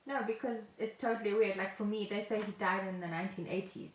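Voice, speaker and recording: A woman's voice: medium pitch, fairly even intonation, fast. A middle-aged South African woman co-hosting a podcast, explaining things in casual chat and sometimes reading from notes. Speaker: podcast co-host. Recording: roomy home podcast echo.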